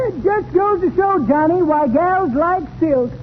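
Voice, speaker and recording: High-pitched folksy old-timer. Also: male voice, exaggerated voice. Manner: folksy, rustic storytelling. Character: cackling, joke-telling old-timer, folksy geezer. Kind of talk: 1930s radio comedy acting. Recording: noisy, tinny 1930s radio broadcast.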